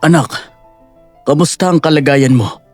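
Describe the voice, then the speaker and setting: A man playing a gentle, devoted old father in a Filipino audio drama, speaking in a tender, soothing way. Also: fairly high pitch, measured pace, soft background music, lightly acted. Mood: tender, reassuring.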